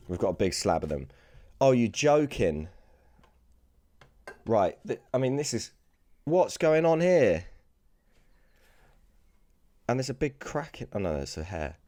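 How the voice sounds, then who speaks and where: A British man with a hoarse, raspy voice that sounds worn out, hosting a casual sports card unboxing video. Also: lowish voice, sing-song, slow, pauses often, dark tone. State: hoarse, lost voice from screaming the night before.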